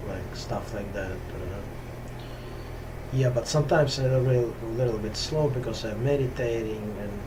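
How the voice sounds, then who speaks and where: A man from Russia speaking English with a Russian accent, talking slowly and hesitantly with many pauses and fillers in a casual spiritual talk to camera. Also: mid-range voice, background noise. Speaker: yoga teacher. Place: top-floor room at home on a hot day.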